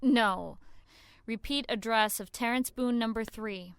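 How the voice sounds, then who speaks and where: An actress playing an earnest young woman in an audio drama, urgent and expressive as she searches on behalf of her grandfather. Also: fairly high pitch, unhurried, creaky, clean tone, no room sound. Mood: anxious, hopeful. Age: young adult. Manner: talks to herself, gasping, urgent pleading.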